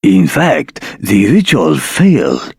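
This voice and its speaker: A man playing a solemn elderly dragon-folk elder in a video game, speaking in a measured, formal way. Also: lowish voice, huge pitch swings, vocal fry, a little mellow, husky, dry recording, studio quality, heavily acted. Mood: grave. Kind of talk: scripted game voice acting.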